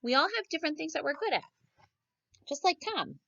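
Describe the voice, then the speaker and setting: Lively, encouraging schoolteacher voiced by a woman reader. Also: high voice, a little fast, gravelly, dry recording, dull audio, voice pushed up, full character voice. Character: kind, encouraging woman primary school teacher.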